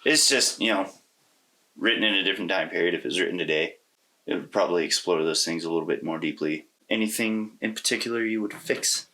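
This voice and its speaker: A man's voice: low-pitched, fast, sharp tone. A man co-hosting a podcast, casually discussing a film and thinking aloud in a loose, rambling way. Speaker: podcast co-host.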